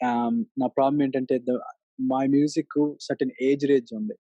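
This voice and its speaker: Fast-talking young man, dark mellow voice. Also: medium pitch, flat delivery, no room sound, highs cut off. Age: 20s. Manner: mixes Telugu and English mid-sentence; thoughtful, with many ums and restarts. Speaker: interview guest, musician and recent graduate. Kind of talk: casual reflective conversation.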